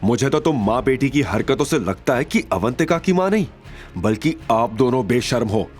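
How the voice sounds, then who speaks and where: A man's voice: mid-range voice, animated, moderate pace, faint music behind, close to an everyday voice. A man playing a stubborn, proud young hero with a firm manner in an audio drama. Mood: firm anger. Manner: firm, assertive.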